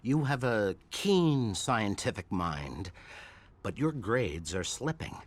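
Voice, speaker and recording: A stern science professor lectures in a lowish voice. His intonation swings widely, rising and falling as he scolds. He sounds firm and disappointed. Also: very animated, takes it slowly, full character voice. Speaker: university professor.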